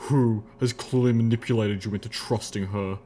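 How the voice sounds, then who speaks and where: A man's voice: on the low side, fairly even intonation, moderate pace, warm tone, a little hiss or hum, natural voice. A man voicing a stern, suspicious old soldier pony in armour, clipped and accusing, in an audiobook story. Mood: suspicious, indignant.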